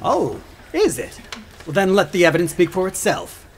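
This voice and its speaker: An actor playing a sarcastic, pompous prosecuting attorney in a courtroom audio drama, with oratorical, scornful delivery. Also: male voice, high-pitched, wildly swooping pitch, moderate pace, full character voice. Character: sneering male prosecuting attorney, theatrical and scornful. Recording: clearly noisy track with clicks.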